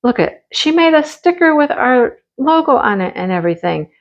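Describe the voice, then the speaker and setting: A woman's voice: sits high, big pitch swings, normal speed. Chatty craft lady from michigan, kinda losing her voice, gushy show-and-tell, dull lo-fi sound. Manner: chatty and gushing, often says she loves things. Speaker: craft channel host. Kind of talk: casual show-and-tell chat.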